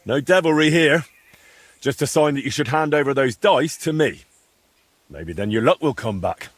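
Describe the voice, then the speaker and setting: Medieval game hero, young man male actor: mid-pitched and raspy, direct, challenging, with a wry edge. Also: lively intonation, normal speed, no room sound, close to an everyday voice. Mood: wry, taunting. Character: bold, blunt young medieval hero pressing for answers. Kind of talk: video game dialogue acting.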